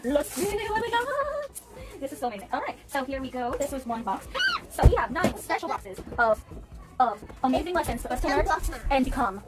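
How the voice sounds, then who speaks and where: A woman's voice: high-pitched, sing-song, fairly quick, heavy background noise. A woman chatting casually and enthusiastically in a vlog, as a homeschooling mother talking to viewers and her children. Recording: music behind the voice. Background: music.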